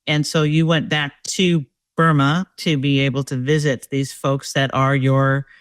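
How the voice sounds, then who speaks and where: Mid-pitched interview host, dry sound. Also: little pitch movement, no echo. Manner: guiding, reads captions aloud, pauses to check pronunciation. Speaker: host, executive coach. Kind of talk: interview questions.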